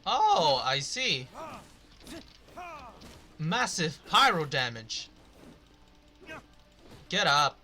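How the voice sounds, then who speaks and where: A man's voice: on the high side, big pitch swings, very slow, long pauses, pushed and bright, faint music behind. A man doing casual, rambling gameplay commentary, swearing now and then. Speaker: video game streamer.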